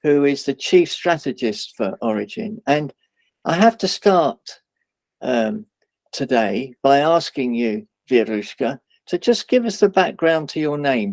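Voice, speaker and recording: A man leads the interview in a medium-pitched, measured voice and encourages his guest as he asks. The recording is dry, with no room echo. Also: darkish tone. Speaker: interviewer, outreach lead. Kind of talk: interview hosting.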